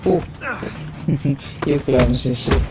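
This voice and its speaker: Unhurried, halting gamer in a roomy space. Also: medium pitch. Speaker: gaming video host. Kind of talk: casual gameplay commentary. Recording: roomy echo, game music behind. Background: video game music.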